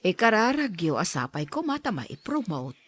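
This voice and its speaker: A woman's voice: lowish voice, big pitch swings, normal speed, breathy edge, no echo. An actress with an Ilocano Filipino accent playing a caring, encouraging older mother in a radio drama. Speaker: actor in an Ilocano audio drama. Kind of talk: acted radio drama dialogue.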